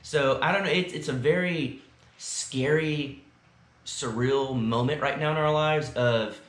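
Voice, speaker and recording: Güney Teksaslı, sohbet eden adam, yankılı oda. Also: male voice, a little high, a little slow, fairly bright tone. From South Texas. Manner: rambling, chatty, many fillers. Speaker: vlog co-host. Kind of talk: casual chat. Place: friend's house, indoors. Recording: roomy echo.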